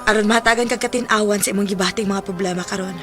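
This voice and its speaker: An actress playing a devout, supportive Filipino wife in a Cebuano radio drama, pleading and reassuring. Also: female voice, mid-range voice, fairly even intonation, racing, a bit bright, soft background music, natural voice. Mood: concerned, pleading. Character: devout, supportive wife consoling her troubled husband.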